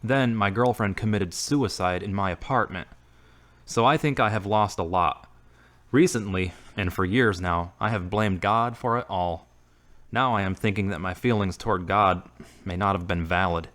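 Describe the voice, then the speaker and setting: A man's voice: low voice, normal speed, a bit bright. A man playing an earnest, grieving former carpenter who is seeking faith again, in an amateur audio drama. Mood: somber, earnest.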